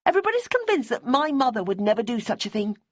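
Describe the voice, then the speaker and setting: A woman voicing a pleading, high-strung young widow. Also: sing-song, quick pace, no echo, clean studio sound. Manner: urgent and pleading. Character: anxious, insistent woman, a grieving young widow.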